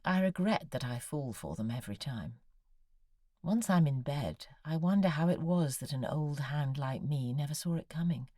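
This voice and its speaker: A woman's voice: low-pitched, lively intonation, normal speed, warm tone, no room sound, clean studio sound. An actress playing an anxious, needy, brooding woman novelist in an audio drama, articulate and confiding as she voices her letters. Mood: melancholy, confiding.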